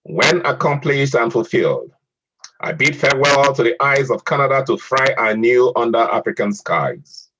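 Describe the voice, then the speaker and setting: A man moderating an online poetry event, reading a poem aloud in a measured way and hesitating with fillers between speakers. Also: medium pitch, animated, a bit bright. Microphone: online video call audio. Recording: muffled lo-fi call audio.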